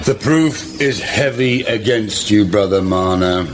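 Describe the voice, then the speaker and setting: Man acting a stern accusing minister, lowish voice, formal interrogating tone, period radio drama, background noise. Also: a little slow, natural voice. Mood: severe.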